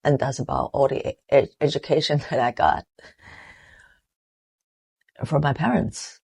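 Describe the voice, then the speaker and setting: Slow, earnest Taiwanese woman. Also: extremely low pitch, vocal fry, darkish tone, slightly rough. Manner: earnest, deliberate, with halting restarts. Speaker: motivational vlogger. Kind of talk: reflective motivational monologue.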